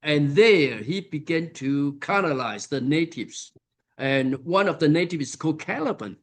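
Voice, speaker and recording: Slow-speaking man lecturing. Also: on the high side, lively intonation. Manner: unhurried, many fillers and pauses. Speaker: conference keynote speaker. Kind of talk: academic conference lecture. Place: conference hall.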